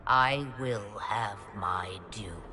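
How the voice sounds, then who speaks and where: A woman's voice: extremely low pitch, very slow, background noise, exaggerated voice. An actress playing an ancient, imperious witch-mother in a video game, speaking in cryptic, theatrical, archaic phrases with a menacing edge. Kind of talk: dramatic video game voice acting. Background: background music.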